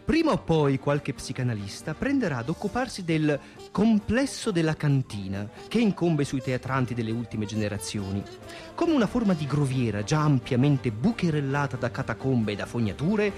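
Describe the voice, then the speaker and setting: A man narrates a scripted theatrical piece in a mid-pitched voice. His intonation is lively and varied, with a declamatory, literary turn to the phrasing. Music plays softly behind him. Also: moderate pace. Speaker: radio theatre narrator and reader.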